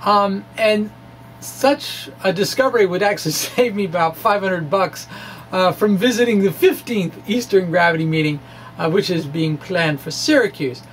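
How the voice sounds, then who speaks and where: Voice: mid-range voice, moderate pace. A person presenting a science talk as a dramatic reading, in a conversational, explanatory way with casual asides. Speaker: amateur physicist presenting. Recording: clearly noisy recording with hum.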